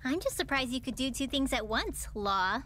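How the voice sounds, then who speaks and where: An actress voicing a spirited, curious young girl in a video game, talking in an inquisitive, excitable way. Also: high voice, normal speed. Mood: wary, teasing. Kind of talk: dramatic video game voice acting. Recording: game music under the dialogue.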